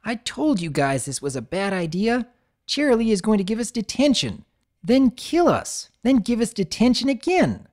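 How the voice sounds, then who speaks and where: A woman voicing a brash tomboy girl pony who talks in a gruff, sarcastic, complaining way. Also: fairly high pitch, sing-song, normal speed, a little mellow, voice pushed up. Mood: grumbling.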